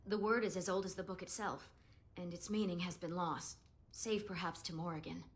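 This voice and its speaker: A woman's voice: fairly low pitch, fairly even intonation, moderate pace, lightly acted. An actress playing a proud, guarded young elven woman in a fantasy game, speaking earnestly and formally. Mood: earnest, solemn. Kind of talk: scripted fantasy game dialogue.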